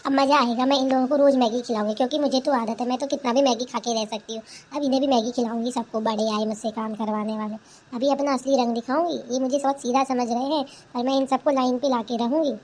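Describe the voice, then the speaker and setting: Sassy young newlywed for a cartoon comedy, played by a woman: high voice, indignant back-talk, noisy recording. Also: steady tone, fast talker, darkish tone, close to an everyday voice. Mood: smug, plotting. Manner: indignant, complaining, talks back. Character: sassy, defiant young newlywed daughter-in-law in a village. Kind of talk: Hindi cartoon family comedy dubbing.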